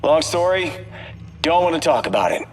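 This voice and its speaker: An actor playing a cocky, goofy, wisecracking space pilot in a video game, flippant and very expressive. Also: male voice, fairly high pitch, moderate pace. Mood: breezy. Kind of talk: video game character dialogue. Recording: long reverb, faint music.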